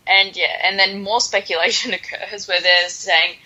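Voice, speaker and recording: Bright-voiced woman podcast host, playfully sarcastic. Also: medium pitch, fairly even intonation, normal speed, dull audio. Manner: playful mock-dramatic sarcasm. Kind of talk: casual comedic chat.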